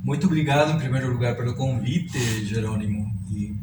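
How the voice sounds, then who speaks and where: A man in his sixties with a Chilean Spanish accent speaks as an interview guest, reflective and halting, often adding little tag questions. He is heard from a distance in an echoey room. There is clear noise behind him. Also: mid-range voice. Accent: Chilean Spanish accent in Portuguese. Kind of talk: reflective autobiographical interview talk. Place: indoors at a publisher's office.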